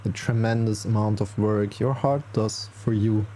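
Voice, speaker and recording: A man leading a guided relaxation as a meditation teacher, speaking soothingly and reassuringly, with long unhurried pauses. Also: on the low side, fairly even intonation, warm tone, slight background noise. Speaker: yoga nidra meditation guide.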